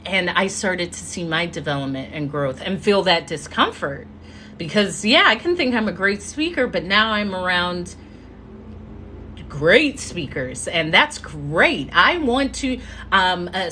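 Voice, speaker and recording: A woman giving an earnest motivational talk as a live stream, telling her story with drawn-out pauses. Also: mid-range voice, animated, pushed and bright, a little hiss or hum. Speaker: motivational speaker. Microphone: phone or webcam mic.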